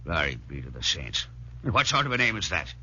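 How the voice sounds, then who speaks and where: An actor playing a gruff, sarcastic Irish commando instructor in a 1940s radio drama. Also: male voice, a bass voice, very animated, brisk, sharp tone, full character voice. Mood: wry, needling. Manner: barking, sarcastic. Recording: muffled 1940s radio recording.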